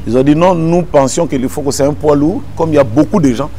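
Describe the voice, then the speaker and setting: Man, middle-aged, born in Kinshasa, gospel pastor, mellow tone, faint hiss, measured interview answers. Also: fairly high pitch. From born in Kinshasa, Congo. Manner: measured, retelling events with repeated phrases. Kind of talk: calm explanatory interview answers. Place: indoor room with potted plants.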